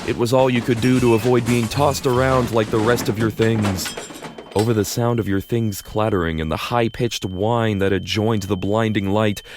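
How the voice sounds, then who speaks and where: A man's voice: on the low side, fairly even intonation, moderate pace. A man narrating a fan audio drama in the second person, casual and wry.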